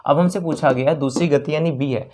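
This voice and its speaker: A maths teacher with a North Indian accent and a medium-pitched voice explains exam problems one step at a time. Key phrases get repeated, with frequent little check-ins for learners. English numbers and terms are mixed into the explanation. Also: brisk, dark tone.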